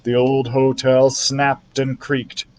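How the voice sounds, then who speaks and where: A man's voice: mid-range voice, monotone, slow pace, darkish tone, a little hiss or hum. A man reading a children's novel aloud as a storytime narrator. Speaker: library storytime reader. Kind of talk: scripted children's book narration.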